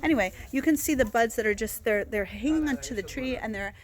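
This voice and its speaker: A woman's voice: a little high, quick pace. A woman guiding a casual outdoor plant walk, talking in a chatty way with many fillers and restarts, recorded on a handheld phone in a garden. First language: not Hebrew. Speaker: herbal plant walk guide.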